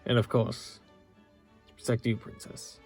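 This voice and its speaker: A man playing a loyal young knight in love with his princess, speaking gently and earnestly with halting pauses in a romantic audio roleplay. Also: medium pitch, monotone, creaky. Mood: fond, earnest. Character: loyal young knight, the princess's tender childhood-friend lover. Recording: orchestral music behind the voice.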